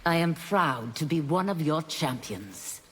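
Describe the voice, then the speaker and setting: A woman's voice: low voice, lively intonation, measured pace, a bit bright, long reverb tail, slight background noise, poor audio. A woman voicing an earnest, resolute demon warrior seeking redemption in a video game, with formal speech. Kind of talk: video game fight-intro dialogue.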